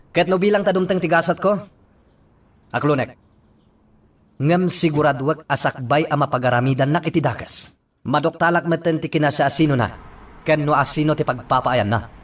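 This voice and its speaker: A man's voice: fairly high pitch, slight background noise, lightly acted. A man playing a resolute, defiant hero speaking in a radio drama monologue. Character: resolute man defying evildoers who threaten his town.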